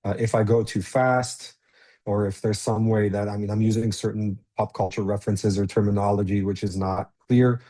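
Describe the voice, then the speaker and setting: A man with an American accent giving a quick, straightforward online business presentation as a guest speaker. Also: lowish voice, fairly even intonation, mellow. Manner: fast, straightforward, chatty delivery.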